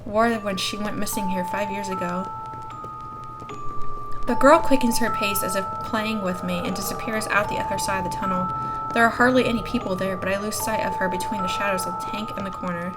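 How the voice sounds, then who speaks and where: A woman's voice: medium pitch, fairly even intonation, a little fast, loud music behind the voice. A woman reading a story aloud expressively as the host of a gaming video. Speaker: YouTube host reading a visual novel aloud. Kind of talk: expressive read-aloud narration.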